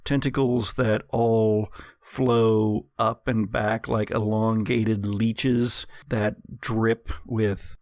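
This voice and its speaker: Slow, measured man narrating gothic horror. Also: fairly low pitch, darkish tone, no room sound, clean studio sound. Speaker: game master.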